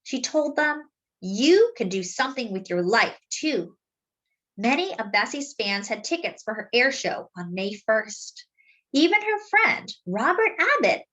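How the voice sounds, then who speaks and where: A woman with a fairly high voice reads a children's book aloud. Her pitch moves in wide, lively swings, and she phrases deliberately, stretching words out for dramatic emphasis. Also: rough recording. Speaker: storyteller reading a picture book aloud. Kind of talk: expressive children's read-aloud narration.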